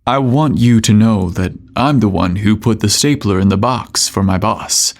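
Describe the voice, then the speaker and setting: A man voicing a confident, tender office hunk in love in an audio romance story, seductive and deliberate. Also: on the low side, close to an everyday voice.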